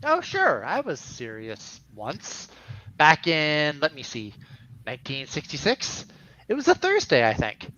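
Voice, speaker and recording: Wisecracking superhero husband acted by a man, flirty and chatty, extremely animated, bright but lo-fi amateur comic reading. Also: fairly high pitch, measured pace, dry recording, full character voice. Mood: joking. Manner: jokey, chatty.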